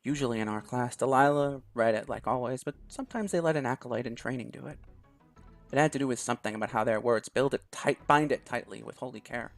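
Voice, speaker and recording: A man narrating a visual novel aloud in a measured, storytelling way. His voice is gravelly with a creaky rasp. Faint music runs softly underneath. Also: mid-range voice. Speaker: game streamer.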